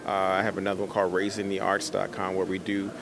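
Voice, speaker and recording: Quick-talking middle-aged man, lowish voice. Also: completely flat delivery, creaky. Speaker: interviewed professional artist. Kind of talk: conversational interview answers. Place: indoor art expo in a convention center. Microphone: handheld interview microphone. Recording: busy exhibition hall crowd behind. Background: crowd noise.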